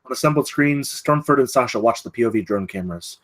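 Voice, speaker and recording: Man, medium pitch, narrator, screenplay stage directions, table read. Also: expressive, quick pace.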